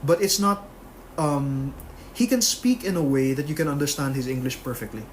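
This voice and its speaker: A man's voice: a little high, moderate pace, a little hiss or hum, low-quality recording. A man co-hosting a podcast in casual chat, rambling and full of fillers. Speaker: podcast co-host.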